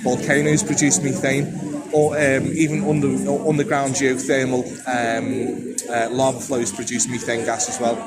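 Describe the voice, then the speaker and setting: A man's voice: mid-range voice, flat delivery, normal speed, a loud crowd, low-quality recording. A man interviewing people outdoors, questioning and challenging in a friendly way, repeating his words. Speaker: street interviewer with camera. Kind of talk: casual street debate. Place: outdoors in public.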